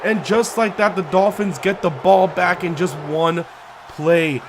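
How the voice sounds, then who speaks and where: A young man doing play-by-play commentary over a football video game, getting excited on big plays while he says he is losing his voice. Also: measured pace, background noise. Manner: excitable, pitch climbing on big plays, yard-line countdowns. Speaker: gaming YouTuber doing play-by-play.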